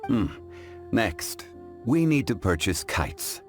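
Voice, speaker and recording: Game role: erudite, dignified gentleman, very deep, formal delivery with expressive intonation. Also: slow, heavily acted. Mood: composed. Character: dignified, scholarly, composed gentleman consultant. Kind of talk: video game cutscene dialogue. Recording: music behind the voice.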